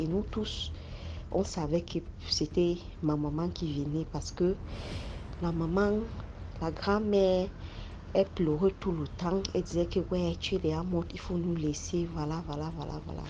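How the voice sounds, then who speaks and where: Man, halting story, dull lo-fi sound, faint hiss. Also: high voice, darkish tone. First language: English. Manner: halting, searching for French words, many restarts. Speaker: story contributor. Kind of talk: telling a personal spooky story.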